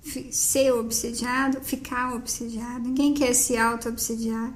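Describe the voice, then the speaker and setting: A woman's voice: on the high side, flat delivery, a little slow. A woman co-hosting a podcast, thoughtfully explaining ideas in casual conversation. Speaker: podcast co-host. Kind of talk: casual chat.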